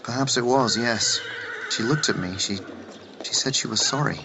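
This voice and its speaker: An actor playing a whimsical, eccentric time-travelling doctor who banters and jokes in a radio drama. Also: male voice, mid-range voice, normal speed, creaky, noisy background, close to an everyday voice. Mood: wry, reflective. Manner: bantering, jokey. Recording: loud music bed.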